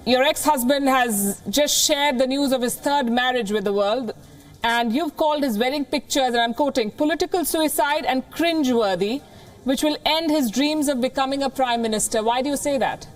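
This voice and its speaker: A woman news anchor reading a scripted report and interviewing a guest, measured and crisp. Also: high-pitched. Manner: crisp, measured newsreader delivery.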